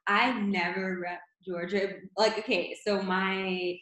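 Brief: woman interviewer, mid pitch, eager, lots of fillers, roomy echo. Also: steady tone, slow pace, a bit bright. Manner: enthusiastic, many "like" fillers. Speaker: interviewer, podcast host. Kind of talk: casual interview talk.

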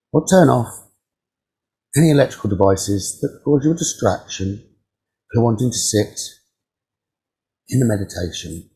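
A man leading a guided meditation as a teacher, giving calm, soothing instructions with frequent pauses. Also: fairly low pitch, low-quality recording.

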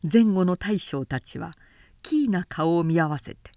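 A woman who works as a narrator reads a literary tale dramatically, in a measured, carefully articulated way. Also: lowish voice, lively intonation, warm tone, no echo, clean studio sound. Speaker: professional narrator.

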